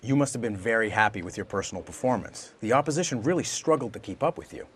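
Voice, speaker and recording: A man's voice: lowish voice, lively intonation, quick pace, natural voice. A man playing a polite sports reporter doing a post-match interview. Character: brisk, polite male pitchside sports reporter. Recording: crowd noise behind voice.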